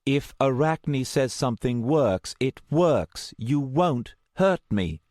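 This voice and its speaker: A man's voice: mid-range voice, lively intonation, slow pace, a little mellow, dry recording, studio quality, pitched above the performer's own voice. A man playing an exasperated, protective man in an audiobook story, insistent and reasoning with a snarky streak. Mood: pleading. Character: exasperated, protective man who can see the future.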